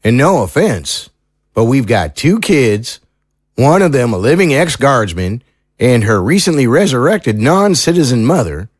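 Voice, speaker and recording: An actor playing an earnest, troubled small-town sheriff in an audio drama, speaking in a folksy, sincere, measured way. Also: male voice, medium pitch, sing-song, dry recording. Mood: grave, candid.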